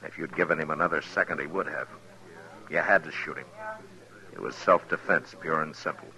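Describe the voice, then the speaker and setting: An actor playing a stern, middle-aged American frontier marshal in an old radio western, speaking with deliberate, terse authority on a hissy recording. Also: male voice, extremely low pitch, sharp tone, exaggerated voice, low-quality recording. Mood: firm, reassuring. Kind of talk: 1950s radio western acting and first-person narration.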